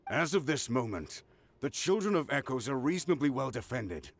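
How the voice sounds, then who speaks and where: Gravelly, resolute game commander. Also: male voice, medium pitch, sing-song, normal speed, soft background music. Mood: grave, measured. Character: resolute male military commander, grave and protective. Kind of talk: video game voice acting.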